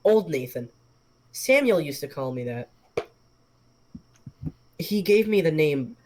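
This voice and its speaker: A man voicing a calm, wise male snake that talks slowly and matter-of-factly. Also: a little high, slight background noise. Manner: slow, measured, matter-of-fact.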